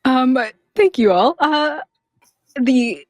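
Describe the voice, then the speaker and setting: A woman game master improvising narration for a tabletop roleplaying game, gentle, halting and encouraging. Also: high voice, lively intonation, no echo, soft background music.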